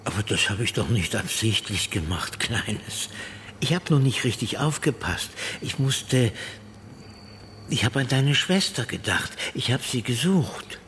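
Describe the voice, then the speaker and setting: A man's voice: medium pitch, big pitch swings, measured pace, a bit bright, slight background noise. An older man acting an absent-minded, muddled grandfather in a radio drama, hesitant and distracted. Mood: sad, insistent.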